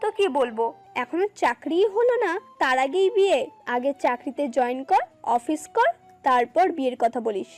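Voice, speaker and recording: No-nonsense mum played by a woman, high voice, mocking and dry, audio drama with background music. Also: lively intonation, moderate pace. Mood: teasing, scolding. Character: teasing, no-nonsense mother of a grown son. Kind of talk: audio story acting. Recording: music under the voice.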